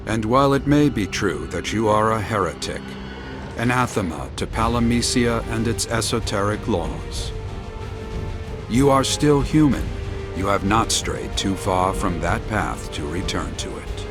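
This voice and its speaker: An actor playing a wise, self-deprecating old former hero in a video game, speaking in formal, archaic, measured diction. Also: male voice, lowish voice, expressive. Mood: calm, persuasive. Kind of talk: scripted video game acting. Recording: music behind the voice.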